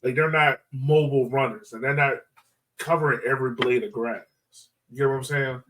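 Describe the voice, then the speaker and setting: A man's voice: medium pitch, steady tone, fairly quick, a bit bright, far from the mic, slight background noise, rough recording. A man co-hosting a podcast, talking through soccer analysis in an informal, emphatic way full of filler words. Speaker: podcast co-host, soccer fan. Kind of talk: casual sports analysis chat.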